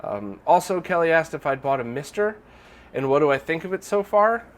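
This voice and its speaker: A man's voice: fairly high pitch, lively intonation, moderate pace. A man hosting a casual viewer Q&A, sounding nasal and congested from a recent illness, rambling with lots of fillers and restarts. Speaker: channel host. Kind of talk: casual Q&A monologue.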